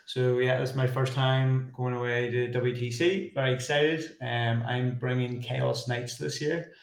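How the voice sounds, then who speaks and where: A man's voice: mid-range voice, level tone, unhurried. A man chatting casually as a guest, in echoey, roomy sound. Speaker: podcast guest, tournament player. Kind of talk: casual chat.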